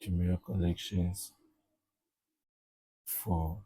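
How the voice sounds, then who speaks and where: A man giving a casual step-by-step software tutorial, often repeating himself and checking in with okay and all right. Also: deep voice, steady tone, extremely slow, breathy edge, no room sound. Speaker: tutorial presenter.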